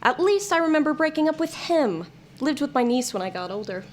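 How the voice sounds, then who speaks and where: A woman's voice: sits high, sing-song, fairly quick, a little mellow, a little hiss or hum. A woman playing a jaded, blunt, sardonic character in an audio comedy-drama, with a dry delivery. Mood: matter-of-fact, wistful.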